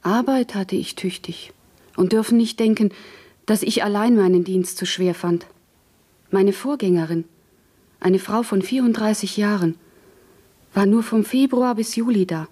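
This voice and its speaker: An actress playing a deferential, anxious country housemaid looking for a position in a German radio play, meek and dutiful. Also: female voice, mid-range voice, a little slow, long pauses, warm tone, close to an everyday voice. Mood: earnest. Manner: meek, dutiful, short obedient answers.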